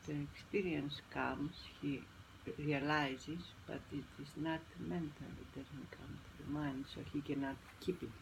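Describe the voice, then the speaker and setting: Creaky, higher-pitched man over clear noise. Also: steady tone, unhurried. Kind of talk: casual reflective discussion. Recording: noisy amateur recording.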